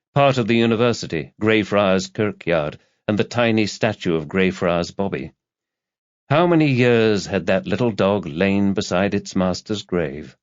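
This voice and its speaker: A man narrating a thriller audiobook from a script in a measured, dramatic storytelling manner. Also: low-pitched, no echo. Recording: dull lo-fi audio, very dry.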